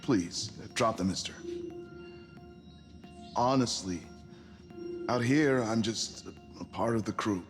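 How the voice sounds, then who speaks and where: An actor playing an arrogant, irritable billionaire mission backer in a video game, sarcastic, profane and demanding. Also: male voice, fairly low pitch, slow, pauses often. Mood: subdued. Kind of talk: cinematic video game acting. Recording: reverberant hall, music underneath.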